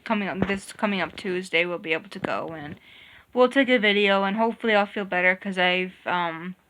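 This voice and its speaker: A young woman with a bright, forward voice. She talks casually in a couple's vlog, rambling and restarting her sentences as she goes. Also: mid-range voice, fairly quick, a little hiss or hum. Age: young adult. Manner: rambling, many restarts and fillers. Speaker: vlogger. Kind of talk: casual chat.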